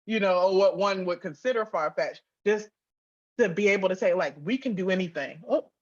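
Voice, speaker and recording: A woman talks as a guest in a casual interview, with a medium-pitched voice and an animated, informal delivery. She drops in "like" often as she goes. The recording is slightly dull and lo-fi. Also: a little fast. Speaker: guest, audio drama writer and producer.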